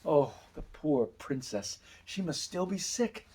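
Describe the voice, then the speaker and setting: A man plays a kindly, naive fairy-tale lad, a little high and husky. He talks in a hesitant, apologetic, earnest way, always polite. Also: lively intonation, slow pace, mellow, exaggerated voice. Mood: worried.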